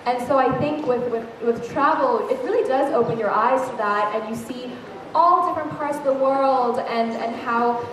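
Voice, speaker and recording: A woman's voice: high-pitched, moderate pace, slight background noise. A young woman from Thailand speaking on a festival panel about travel, earnest and storytelling. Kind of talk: panel discussion. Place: large hall with a live audience. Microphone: handheld mic. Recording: echoey hall recorded from far off.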